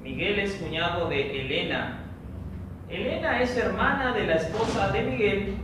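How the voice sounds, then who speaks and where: A man teaching a recorded online training lesson for teachers, explaining a logic problem slowly and step by step. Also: sits high, lively intonation. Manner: slow, methodical, explains step by step. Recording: echoey room, distant mic, noisy.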